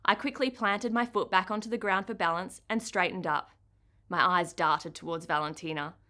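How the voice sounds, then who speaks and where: A woman with an Australian accent, an author giving an expressive first-person reading of her children's book. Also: on the low side, normal speed.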